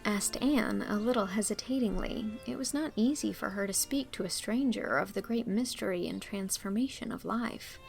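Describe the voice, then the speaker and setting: A woman narrating an audiobook in an even storytelling manner. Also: medium pitch, normal speed, gravelly, hardly any pauses, faint music behind, poor audio.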